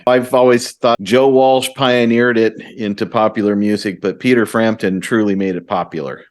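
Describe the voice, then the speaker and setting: A man's voice: lowish voice, steady tone, normal speed. A man chatting casually as an interviewer.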